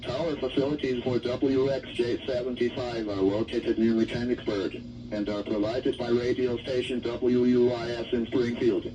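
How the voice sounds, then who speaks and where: A man reading a scripted station identification for weather radio in a formal, measured way. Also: medium pitch, flat delivery. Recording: dull, distant weather radio broadcast.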